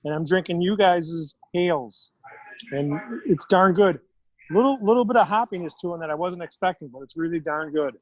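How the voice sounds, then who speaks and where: A higher-voiced man speaks loud and eager from a noisy bar. His audio is dull and lo-fi but dry, with no room echo. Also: normal speed. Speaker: guest.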